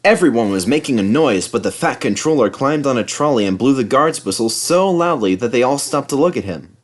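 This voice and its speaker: Animated man reading a children's story. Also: mid-range voice, brisk. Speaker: narrator.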